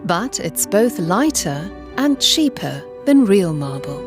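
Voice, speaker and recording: A woman reading scripted documentary narration in a measured, explanatory way. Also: medium pitch, very animated. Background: background music.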